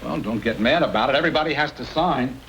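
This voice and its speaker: A man's voice: mid-range voice, fast talker, close to an everyday voice. An actor playing a surly, tight-fisted male innkeeper in an old-time radio western, laconic and folksy with a dry manner. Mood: dry, grudging. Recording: noisy old radio recording.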